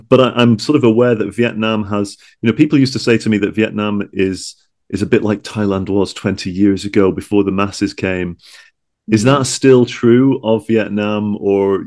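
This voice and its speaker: Low-pitched male podcast host. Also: darkish tone. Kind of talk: conversational interview.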